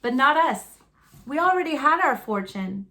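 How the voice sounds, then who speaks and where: A woman reading a children's picture book aloud as a storyteller, in an expressive, folksy and dramatic manner. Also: high-pitched. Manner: folksy, dramatic, deliberate delivery.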